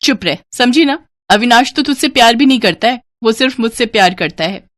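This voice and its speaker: A woman's voice: fairly high pitch, lively intonation, fairly quick, no room sound, studio quality, voice pushed up, natural voice. A woman voicing a vain, haughty young sister who sneers cruelly, in a cartoon moral story. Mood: spiteful.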